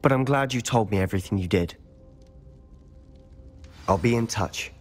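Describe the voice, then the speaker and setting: A headstrong British schoolboy wizard from a fantasy video game, played by a man, with a fairly low voice. He speaks earnestly and persuasively, with soft music underneath. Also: moderate pace, natural voice. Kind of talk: video game dialogue acting.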